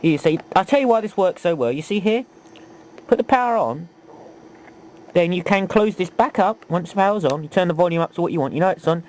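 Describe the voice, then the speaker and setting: Lively higher-pitched man giving a tutorial. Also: brisk, rough recording. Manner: chatty, asks rhetorical questions. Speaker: video presenter, hobbyist demonstrator. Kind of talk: informal hands-on tutorial. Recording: homemade video with faint background noise.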